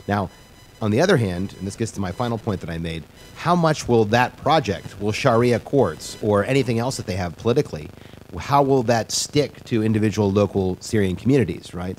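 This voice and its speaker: A man with a notably low voice answers a question on a discussion panel. He talks in an explanatory way, stopping and restarting his sentences often as he works through his points. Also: darkish tone, slight background noise. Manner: halting, many restarts, explanatory.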